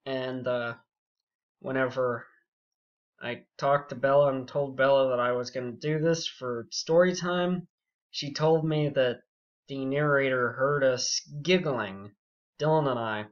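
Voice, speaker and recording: A young man telling a story casually and unscripted, rambling and halting, with frequent asides. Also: medium pitch. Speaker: YouTube storyteller. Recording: dull, muffled lo-fi audio.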